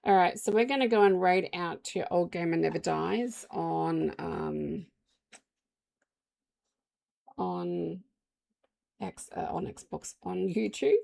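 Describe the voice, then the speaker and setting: A woman live streamer with the flu, hoarse, chatting casually and rambling to her viewers. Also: lowish voice, measured pace, a little mellow.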